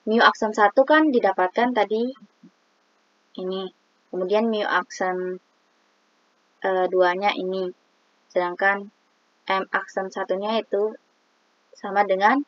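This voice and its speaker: A woman's voice: mid-range voice, steady tone, slow, pauses often, muffled recording. A woman explaining a statistics exercise, reading formulas aloud step by step with many hesitant fillers. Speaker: student presenting a statistics exercise. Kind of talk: explaining math on screen.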